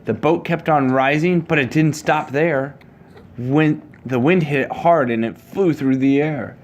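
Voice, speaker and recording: Man reading a storybook, noisy recording. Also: a little high. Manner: measured rhyming storybook delivery. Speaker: father reading to his child. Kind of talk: reading a children's picture book aloud.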